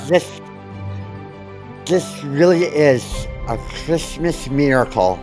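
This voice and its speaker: A man, a podcast co-host, speaking haltingly as he reads lines in a comic story, over music. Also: fairly high pitch, animated.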